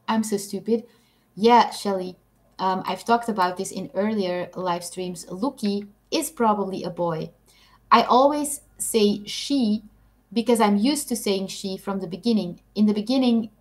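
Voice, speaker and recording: A young woman with a Belgian Dutch accent, chatting unhurriedly in English on a casual live stream. She giggles easily and is quick to tease herself, her voice sitting at a middle pitch and moving along at a leisurely pace. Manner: chatty, giggly, self-deprecating. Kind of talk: casual live stream chat reading comments.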